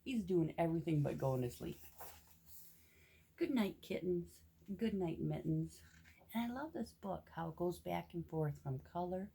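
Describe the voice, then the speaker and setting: A woman reading a picture book aloud to young children with gentle, expressive asides that sometimes drop into a hush. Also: on the low side, measured pace, gravelly, breathy edge. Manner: gentle, expressive, often drops into hushed whispered asides.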